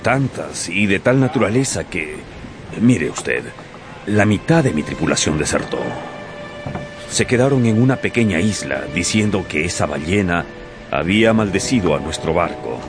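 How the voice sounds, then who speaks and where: An actor playing a haunted, superstitious whaling captain in a radio drama, speaking with dramatic emphasis. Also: male voice, sits low, expressive, normal speed. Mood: uneasy. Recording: radio drama with music behind.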